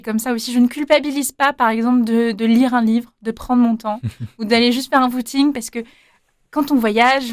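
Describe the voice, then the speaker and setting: A woman's voice: fairly high pitch, darkish tone, no room sound, studio quality. Chatty young woman full of filler sounds. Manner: hesitant, many fillers, laughs easily. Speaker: interviewee, former volunteer abroad. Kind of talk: casual interview answers.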